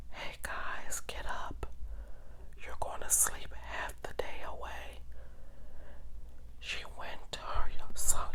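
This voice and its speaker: A woman host telling a story in a breathy ASMR whisper, with deliberate phrasing and dramatic pauses.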